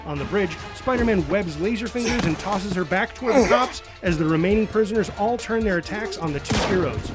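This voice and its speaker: A man's voice: sits high, brisk, few pauses. A man narrating scripted superhero action, reading stage directions in a dramatic manner. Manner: dramatic, spoken sound effects. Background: music.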